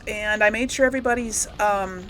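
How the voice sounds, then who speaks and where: A woman's voice: mid-range voice, fairly quick, a bit bright, slight background noise, poor audio. A woman giving casual, rambling let's-play commentary to viewers, thinking aloud as she goes. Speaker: gaming video host.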